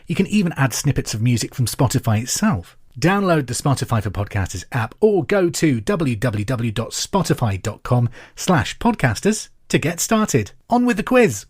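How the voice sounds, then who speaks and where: A man presenting a podcast pub quiz in a scripted, clear and deliberate way. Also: medium pitch, sing-song. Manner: repeats questions clearly and deliberately.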